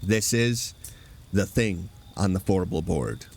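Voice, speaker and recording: A man's voice: deep voice, lively intonation, slow pace, creaky, darkish tone, slightly rough. A man hosting a horror radio show, reading a scripted campfire-style introduction to a story. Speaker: host introducing a radio drama.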